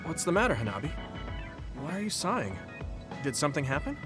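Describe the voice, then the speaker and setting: Video game hero: teenage boy soldier, sincere and supportive, animated intonation, over background music. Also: on the high side, fairly quick. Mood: gentle concern. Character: earnest, supportive teenage boy soldier, self-assured and kind. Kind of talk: English video game voice acting.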